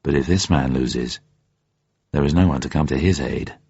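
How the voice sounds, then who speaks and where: A man's voice: a bass voice, normal speed, a little mellow, breathy edge, voice pushed down, full character voice. A man voicing a cool, grimly resolute spy at the gambling table in a story reading, controlled and terse. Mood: grim, intent. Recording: dull, muffled, roomy radio recording.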